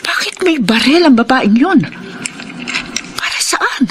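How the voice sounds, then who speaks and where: A woman's voice: high voice, expressive, takes it slowly, noisy background. An actress playing a bitter, accusing older grandmother grieving her son, emotional and agitated, in a Filipino radio drama. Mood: alarmed suspicion.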